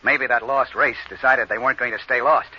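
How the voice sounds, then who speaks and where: An actor playing a tough, impatient spaceship captain in an old-time radio drama, speaking in a brusque, commanding way. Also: male voice, medium pitch, steady tone, a little fast, lightly acted. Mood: grim, wary. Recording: noisy, muffled old radio recording.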